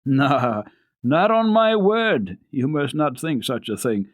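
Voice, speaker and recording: A man's voice: medium pitch, expressive, slow pace, no room sound, voice pushed up. A man voicing an earnest, frank male servant in a storybook reading. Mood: earnest denial. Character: indignant, frank male servant denying blame.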